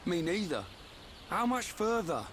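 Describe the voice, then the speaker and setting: Brash young fighter, highish voice, very up and down, game cutscene. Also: male voice, very animated, a little slow, fairly bright tone, a little hiss or hum, exaggerated voice. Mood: grumbling. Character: brash, good-hearted, grumbling young male fighter. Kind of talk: video game voice acting.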